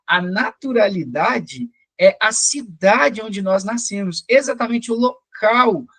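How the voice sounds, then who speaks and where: A man's voice: sits high, animated, slow, fairly bright tone, husky, dry recording. Brazilian man teaching, with a dry, close sound. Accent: Brazilian, Minas Gerais. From Belo Horizonte, Brazil. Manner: didactic, frequent check-in questions. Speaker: teacher, police or military sergeant. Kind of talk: explanatory exam-prep lecture.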